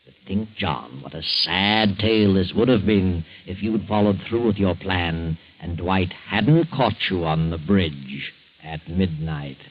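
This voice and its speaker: Slow, sinister, mocking male storyteller. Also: deep voice, lively intonation. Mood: mocking, ominous. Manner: slow, ominous, taunting, chuckling. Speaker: narrator of a mystery drama. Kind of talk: 1940s radio mystery narration. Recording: dull old 1940s radio recording, music behind. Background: eerie music.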